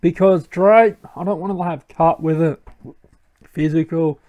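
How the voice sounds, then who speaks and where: A man's voice: a little high, fairly even intonation, a little slow, warm tone, dull audio. A young man hosting a let's play, giving casual commentary and thinking aloud with many ums.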